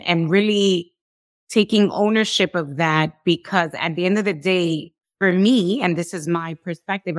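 A woman hosting a podcast, responding reflectively and affirmingly in short, measured phrases. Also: on the low side, no echo, clean studio sound. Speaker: podcast host. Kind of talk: conversational, reflective interview response.

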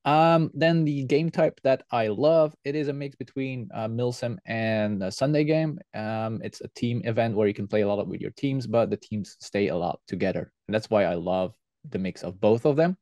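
A man's voice: fairly low pitch, normal speed, a little mellow, dry recording. A man from Belgium, answering interview questions as a podcast guest in an earnest, explanatory way. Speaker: podcast guest, airsoft team leader.

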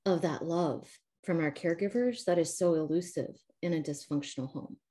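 A woman from the American Midwest with a Midwestern accent mixed with Southern, calmly explaining her coaching work as an interview guest over a video call. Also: low-pitched, normal speed. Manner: calm, explanatory, frequent um and you know. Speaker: trauma recovery coach, guest. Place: home, calling in remotely.

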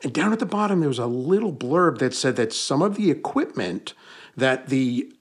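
A man's voice: mid-range voice, big pitch swings, moderate pace, husky. A middle-aged man from the Hudson Valley of New York, a paranormal investigator and author, telling stories in a chatty, good-humored way with frequent restarts. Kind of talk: casual interview storytelling. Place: basement room with bookshelves.

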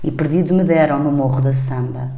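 Low-voiced woman in an echoey room. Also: fairly quick, gravelly, warm tone. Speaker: host of a poetry conversation. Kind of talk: poetry reading and literary conversation. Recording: roomy echo, faint background noise.

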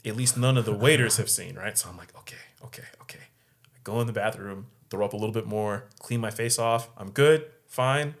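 Gravelly low-voiced man telling a story. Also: a little fast. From San Diego area. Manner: animated, acts out quoted voices. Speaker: podcast co-host. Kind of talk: casual storytelling.

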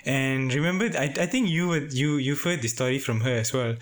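A man's voice: mid-range voice, fairly quick. Earnest young man, Malaysian Indian accent. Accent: Malaysian Indian English. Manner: earnest, hesitant restarts, laughs loudly. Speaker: podcast co-host. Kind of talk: casual chat.

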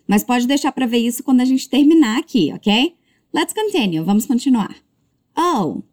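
A woman teaching English as a language lesson, modeling words slowly and carefully before brisk explanations. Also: a little high, expressive, a little mellow. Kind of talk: slow drilled pronunciation lesson.

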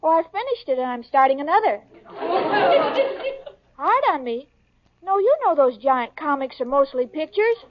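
An actress playing a sweet, dim-witted young woman in a 1940s radio sitcom, wide-eyed and breathy. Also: high-pitched. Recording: dull old radio broadcast, audience laughter, hiss.

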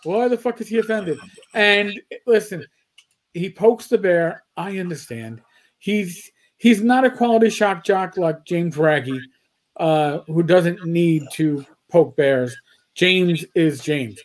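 A man's voice: sits high, takes it slowly. A man co-hosting a casual podcast chat, rambling and blunt, with frequent stammered restarts and swearing. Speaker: podcast co-host.

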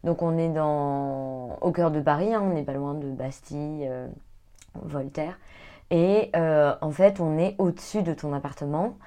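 Female interviewer, low pitch, relaxed halting conversational delivery. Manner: hesitant, many fillers and restarts. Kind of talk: casual interview chat.

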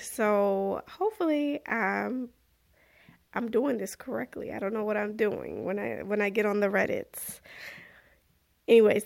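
A young woman hosting a podcast in a casual, chatty way, still a little congested from being sick, with animated exclamations. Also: a little high, vocal fry. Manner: chatty, animated, self-deprecating, exclaims "woo, child". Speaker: podcast host. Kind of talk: casual podcast chat and story reading.

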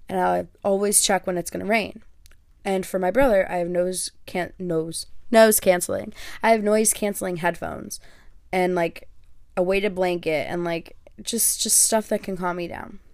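A woman's voice: lowish voice, gravelly, a little mellow, no echo, clean studio sound. A young woman whose first language is Greek, hosting her podcast in a chatty, self-deprecating way with lots of fillers. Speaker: podcast host. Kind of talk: casual solo podcast talk.

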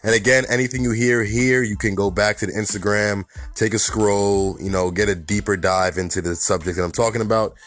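Hoarse New York man hosting a podcast. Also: on the low side, steady tone, brisk, hardly any pauses, a bit bright. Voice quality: raspy. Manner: chatty, fillers like "you know" and "honestly". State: slight cold, hoarse. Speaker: podcast host. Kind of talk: casual solo podcast commentary.

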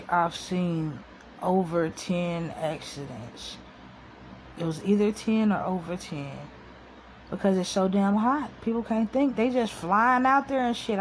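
A woman hosting a casual solo podcast, talking into her phone with a soft voice. She rambles to her listeners in an informal, slangy way. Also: fairly low pitch, a little hiss or hum, low-quality recording. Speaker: podcast host. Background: guitar music.

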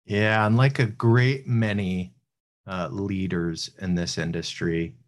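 A man's voice: deep voice, steady tone, slow pace. A man hosting an interview show, asking a guest questions in a conversational style. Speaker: podcast host interviewing guest.

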